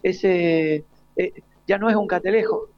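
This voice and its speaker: A man's voice: sits high, measured pace, no room sound. An Argentine man hosting a live, informal radio panel interview with guests. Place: live outside broadcast at a school, dry sound. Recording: slightly muffled live radio broadcast.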